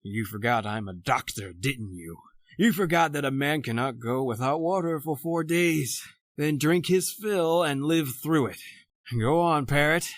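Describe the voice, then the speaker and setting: A man playing a vengeful convict doctor who taunts and gloats in a drawn-out, theatrical radio horror manner. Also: on the high side, no room sound, close to an everyday voice. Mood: gloating, taunting. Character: vengeful gloating male doctor, escaped convict, dying adrift. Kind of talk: old-time radio horror acting.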